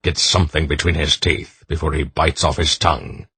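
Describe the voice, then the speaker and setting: A man voicing a gruff old man who barks terse orders, with a husky voice. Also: very deep voice, fairly even intonation, moderate pace, a bit bright, dry recording, full character voice. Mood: urgent. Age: older.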